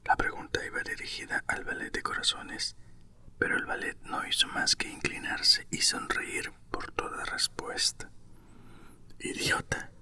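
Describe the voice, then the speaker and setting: A man narrates a storybook entirely in a sharp, airy whisper. His accent is from Spain. The hiss of his breath is bright and crisp on every phrase, in an ASMR style. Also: normal speed. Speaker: narrator reading a children's story.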